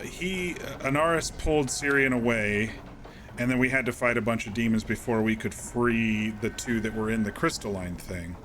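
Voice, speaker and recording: A man streaming a game, casually recapping events in a calm, rambling way with many fillers and restarts. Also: mid-range voice, moderate pace, background noise.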